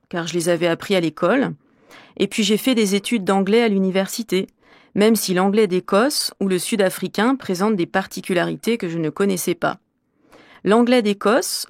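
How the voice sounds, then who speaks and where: A woman's voice: medium pitch, moderate pace, dry recording, clean studio sound, natural voice. A woman playing a middle-aged French teacher from Normandy, answering interview questions in an articulate, explanatory way for a language course. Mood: composed. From Normandy, France. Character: middle-aged female French teacher, curious and well-travelled.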